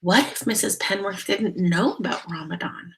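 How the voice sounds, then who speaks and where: A woman reading a storybook aloud like a teacher, explaining things deliberately and putting questions to young listeners. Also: on the low side. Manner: deliberate, explanatory, addressing children. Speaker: teacher reading a picture book aloud to children.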